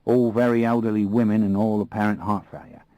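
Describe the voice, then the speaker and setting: A man voicing a tall, alert, suspicious Australian fixer with an Australian accent and a brisk, businesslike manner. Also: on the low side, steady tone, mellow, no echo, voice pushed up, natural voice.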